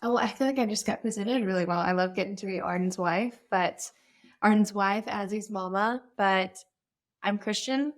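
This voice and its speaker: A woman's voice: medium pitch, normal speed. Playful young woman podcast guest. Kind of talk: casual podcast conversation.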